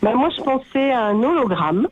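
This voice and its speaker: A woman's voice: a little high, big pitch swings, normal speed, dull audio. A woman with a cold calling a radio game, friendly and thinking aloud with hesitations. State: has a cold, stuffy voice. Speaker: caller. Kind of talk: phone-in call to a radio game.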